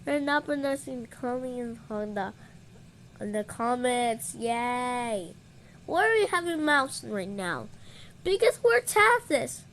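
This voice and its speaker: A woman with a very high voice puts on a playful, improvised puppet show. Her delivery is excitable and exclamatory, with big swings in pitch, over some background noise. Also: takes it slowly.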